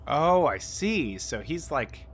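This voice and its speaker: A man's voice: a little high, very animated, slow, soft background music. A man giving casual let's play commentary as he reacts to a game's story. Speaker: gaming streamer reacting to a story.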